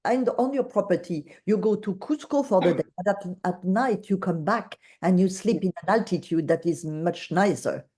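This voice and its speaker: Woman, French accent, host, mellow voice, video call, fillers. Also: fairly low pitch, normal speed, few pauses. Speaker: webinar host. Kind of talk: casual video call hosting.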